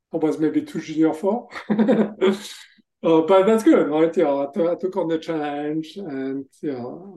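French-accented man, mellow voice. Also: a little high. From France. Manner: hesitant, restarts phrases, laughs between thoughts. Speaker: guest, tech executive. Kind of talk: casual interview answers.

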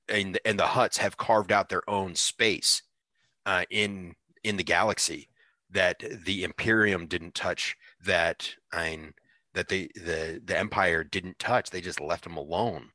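A man co-hosting a podcast, talking casually about a series as a fan, often pausing and restarting his thoughts. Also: sits low, pushed and bright, dry recording. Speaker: podcast co-host.

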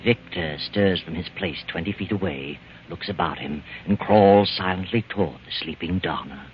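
An actor playing the ominous narrator of an old-time radio suspense tale, speaking in a measured, dramatic manner. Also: male voice, low voice, slight background noise, natural voice. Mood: ominous, hushed suspense. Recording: dull vintage radio recording.

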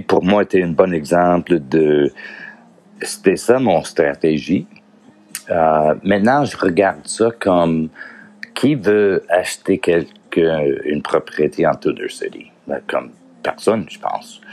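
Man, very low voice, slow unhurried delivery, halting, searching for words, casual podcast talk. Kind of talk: casual solo podcast monologue.

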